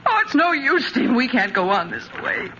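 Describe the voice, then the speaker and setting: Anxious wife with swooping, bright voice. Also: female voice, high-pitched, big pitch swings, fairly quick, lo-fi sound. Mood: resigned. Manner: earnest, emotional, melodramatic. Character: anxious, wounded stepmother and unhappy young wife. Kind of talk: 1950s radio drama acting. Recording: scratchy, band-limited vintage radio recording.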